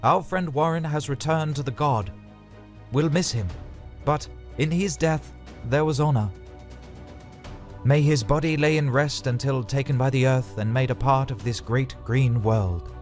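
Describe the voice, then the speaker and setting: A man acts out a battle-weary fantasy commander of dragonriders, solemn and formal. His mid-pitched voice gives orders to his men over faint music. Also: measured pace, darkish tone, lightly acted. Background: background music.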